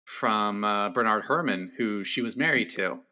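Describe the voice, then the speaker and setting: A man talking casually about an old film as a podcast guest, animated and quick to laugh, acting out lines in a raised voice. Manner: animated, laughs often, acts out quotes in high voice.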